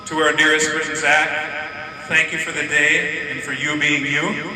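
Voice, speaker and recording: A man's voice: fairly high pitch, monotone, unhurried, noisy background. A man giving a jokey, rambling wedding toast that ends in a rhyming verse. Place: reception hall. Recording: echoey hall from far off, crowd.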